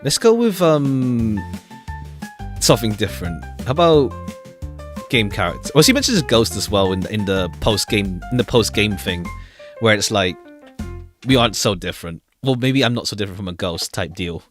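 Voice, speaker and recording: A man's voice: fairly low pitch, big pitch swings, normal speed, fairly bright tone. A man giving casual commentary as he plays a visual novel, rambling and repeating phrases as he thinks aloud. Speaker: streamer playing a visual novel. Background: game music.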